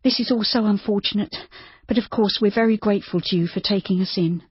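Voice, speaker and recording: A reader pitches up to play a young woman stranded with her companion, anxious and well-mannered, her words tumbling out nervously. The voice is husky and breathy. The recording sounds dry and slightly muffled. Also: fairly quick, no echo, lightly acted. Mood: anxious, polite.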